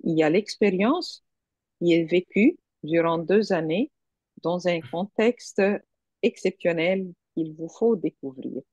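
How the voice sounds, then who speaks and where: A woman's voice: lowish voice, slow pace, a little mellow, no room sound. Woman, university staff, earnest, hesitant, dry dull call audio. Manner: earnest and encouraging, with many hesitations. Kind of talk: encouraging address in an online meeting.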